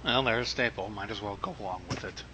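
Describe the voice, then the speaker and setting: Bright, forceful, excitable man. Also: on the low side. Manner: blunt, excitable, quick to exclaim. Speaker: livestream co-host. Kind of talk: casual, profane banter. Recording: faint noise with clicks.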